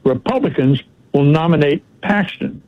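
A man's voice: on the high side, lively intonation, moderate pace. An older man calling in to a radio show, conversational with stammering restarts. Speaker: caller. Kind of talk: phone-in chat. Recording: tinny phone line.

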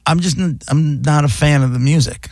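A middle-aged male radio host argues his case, cutting across his partner again and again. His voice is gravelly and sits a little high for a man. The sound is dry and close. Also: fairly quick, darkish tone, no echo. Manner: argumentative, talks over his co-host. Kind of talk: debate on a talk radio show.

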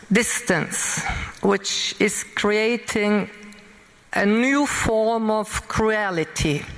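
Forum questioner: woman, unhurried, hesitant delivery, keeps correcting herself. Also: mid-range voice, a bit bright, low-quality recording. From Lebanon. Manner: halting, searching for words, frequent restarts. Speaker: audience member asking a question. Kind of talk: public Q&A at a forum.

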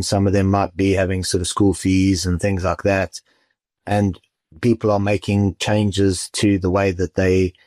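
Deep-voiced man explaining mortgages calmly. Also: no room sound. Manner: measured, explanatory, businesslike. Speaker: mortgage adviser. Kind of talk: expert interview answers.

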